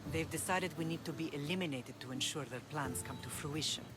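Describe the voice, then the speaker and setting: A woman playing a middle-aged Greek mother who rules an island, measured and solemn, guarded but tender, in a game cutscene. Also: deep voice, over loud music, lightly acted. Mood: grave, measured. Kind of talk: dramatic video game cutscene acting.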